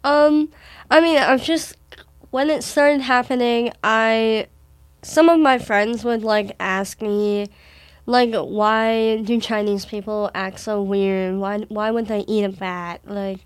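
A teenage girl from Wisconsin with a Wisconsin accent, talking with her mother in a thoughtful, halting way with frequent restarts. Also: fairly high pitch, steady tone, smooth, dry recording. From Wisconsin, USA. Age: teens. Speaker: daughter in conversation with her mother. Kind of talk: casual conversation.

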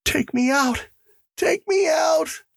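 A man's voice: extremely high pitch, slow pace, vocal fry, a bit bright, no echo, clean studio sound, pitched above the performer's own voice, exaggerated voice. A man voicing a terrified, guilt-crazed prisoner in an audiobook, gasping and pleading incoherently. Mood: hysterical pleading. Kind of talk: audiobook character acting.

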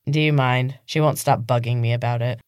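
Woman voicing a restrained, low-key deceitful teenage boy. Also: steady tone, fairly quick, darkish tone, no echo, clean studio sound. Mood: sheepish, annoyed. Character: deceitful teenage boy, outwardly sheepish, quietly menacing.

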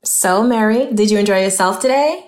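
A woman's voice: mid-range voice, normal speed, a little mellow, soft background music, close to an everyday voice. A woman playing a courteous, protective mother in an audio drama. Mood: confiding. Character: protective, courteous Southern mother, middle-aged.

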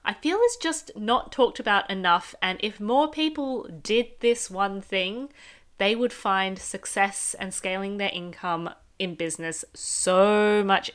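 Australian woman, 30s, smooth clear voice, chatty upbeat solo podcast host. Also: mid-range voice, a bit bright. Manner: chatty, upbeat, speaks straight to the listener. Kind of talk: casual solo podcast monologue.

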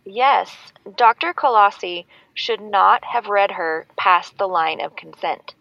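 A woman's voice: medium pitch, slow pace, creaky, no echo. A woman playing a nervous, sincere fan calling in to a show in a fiction podcast, hesitant and earnest. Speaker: caller. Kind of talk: scripted fiction podcast acting. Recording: dry, dull call-in line.

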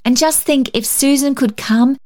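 A high, eager little girl from a storybook, played by a woman reader. She is cheerful and tender-hearted. She speaks in earnest, excited exclamations. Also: moderate pace, close to an everyday voice.

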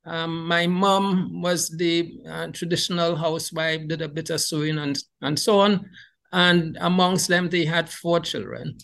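A middle-aged man from Trinidad and Tobago with a Trinidadian accent, telling a warm, animated life story as a podcast guest. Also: unhurried, vocal fry. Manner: animated, rises in pitch when excited. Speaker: guest beekeeper. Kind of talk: storytelling conversation.

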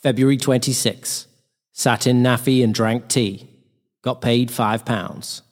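A man's voice: medium pitch, steady tone, takes it slowly. A man reading clipped wartime diary entries aloud. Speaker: diary reader.

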